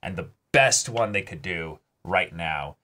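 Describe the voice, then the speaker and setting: Deep, bright-voiced man chatting emphatically. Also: animated, unhurried. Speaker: co-host of an anime reaction show. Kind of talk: casual discussion of an anime episode.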